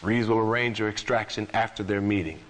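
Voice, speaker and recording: Male actor as mission commander; lowish voice, sober, formal military briefing for a game. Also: steady tone, fairly quick, a bit bright, lightly acted, rough recording. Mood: businesslike.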